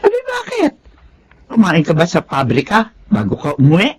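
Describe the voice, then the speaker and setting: A woman acting a brisk, concerned mother in a Tagalog radio drama. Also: on the low side, wildly swooping pitch, a little hiss or hum. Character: brisk, calling mother of a grown daughter.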